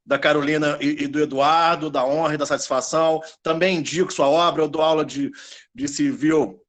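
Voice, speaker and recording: Friendly minas guy, law teacher, slightly high voice, chatty. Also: fairly even intonation, normal speed. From Minas Gerais, Brazil. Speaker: law professor asking questions. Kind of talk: casual webinar chat.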